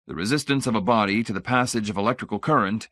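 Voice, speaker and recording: A man's voice: lowish voice, quick pace, a bit bright, no echo, studio quality. A man doing a blunt, irritated engineer. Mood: exasperated. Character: exasperated male electronics expert, blunt and skeptical. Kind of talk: audiobook character voice.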